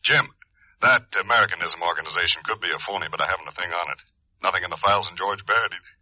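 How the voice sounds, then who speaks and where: An actor playing a skeptical, insistent male records researcher, exasperated and brisk, in 1950s-style radio drama. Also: deep voice, bright, forceful tone, exaggerated voice. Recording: dull vintage radio recording.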